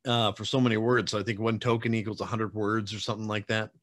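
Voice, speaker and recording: Chatty low-voiced man on a video call. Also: flat delivery, fast talker, fairly bright tone. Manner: chatty, rambling, teasing, many fillers. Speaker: podcast host. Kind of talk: casual video-call interview. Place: home room with bookshelves. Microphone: webcam video call.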